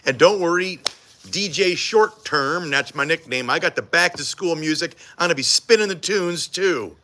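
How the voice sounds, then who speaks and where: A man's voice: a little high, animated, normal speed, sharp tone. A man co-hosting a live morning TV show from the studio, joking and teasing with a self-mocking manner. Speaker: morning TV news co-host. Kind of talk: live behind-the-scenes morning show banter. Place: TV studio during a live broadcast.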